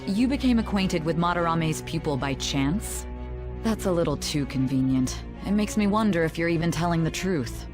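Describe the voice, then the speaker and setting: A woman's voice: on the low side, lively intonation, moderate pace, close to an everyday voice. Woman playing a stern, skeptical interrogator. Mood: stern, doubting. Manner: formal, probing. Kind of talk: anime game voice acting. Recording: music behind.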